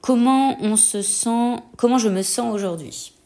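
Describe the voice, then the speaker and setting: A young adult woman with a slight head cold, giving an intimate personal testimony in a hesitant, reflective way with frequent pauses. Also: fairly high pitch, mellow. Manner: hesitant, reflective, pausing often. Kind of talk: intimate personal testimony, answering set questions.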